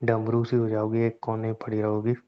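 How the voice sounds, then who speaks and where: A man's voice: fairly low pitch, completely flat delivery, normal speed, clear, resonant tone, natural voice. A man playing a teasing, romantic young groom-to-be who fusses and banters playfully in an intimate phone-call drama. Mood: teasing, mock-scolding. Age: young adult.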